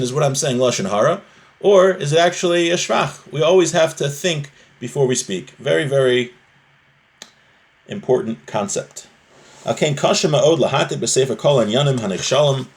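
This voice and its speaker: Man teaching a text conversationally. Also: mid-range voice. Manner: conversational, explanatory, repeats key phrases. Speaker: religious teacher, rabbi. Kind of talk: informal text lesson, reading Hebrew and explaining in English.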